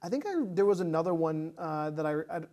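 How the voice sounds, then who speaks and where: A man with a somewhat high-pitched voice co-hosts a casual conversation about film. He speaks hesitantly, working out his thoughts aloud and often repeating the start of a phrase. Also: fairly even intonation, quick pace, warm tone. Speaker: podcast co-host.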